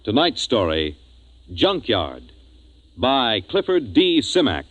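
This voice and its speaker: A male announcer in dramatic 1950s radio style. His delivery is slow and theatrical, with enormous swings in intonation. Loud music plays behind the vintage recording. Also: mid-range voice, huge pitch swings, muffled recording. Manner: theatrical, portentous.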